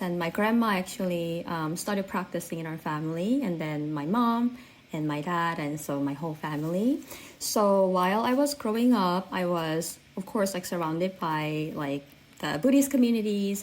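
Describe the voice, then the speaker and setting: A woman's voice: on the low side, low-quality recording. A woman in her thirties from South Korea with a Korean accent, chatting earnestly as an interview guest, with many "like" fillers. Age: 30s. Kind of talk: casual interview chat.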